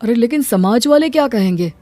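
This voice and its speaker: Casting: a woman as a caring, hardworking big brother in a cartoon fable, earnest and gentle, light music behind. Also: a little high, expressive, brisk, dry recording, pitched above the performer's own voice. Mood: reasoning, worried. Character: humble, caring hardworking young elder brother. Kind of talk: Hindi cartoon moral story.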